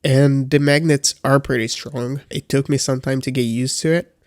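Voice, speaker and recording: A man's voice: mid-range voice, steady tone, moderate pace, no echo, clean studio sound. A man with a congested voice giving a casual, hesitant review as a beginner, with frequent fillers and restarts. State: congested from a cold. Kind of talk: casual product review vlog.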